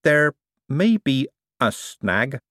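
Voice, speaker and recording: A man voicing a wry, exasperated, fast-thinking man who argues sardonically in an audiobook story. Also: mid-range voice, sing-song, dry recording, clean studio sound, voice pushed up. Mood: hopeful, wheedling. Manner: sardonic, argumentative.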